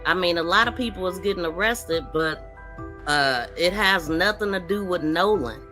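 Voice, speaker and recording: A woman's voice: lowish voice, expressive, normal speed, sharp tone, soft background music. A woman hosting a live true-crime commentary stream, talking informally and directly to her viewers in a casual, conversational way. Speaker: livestream podcast host.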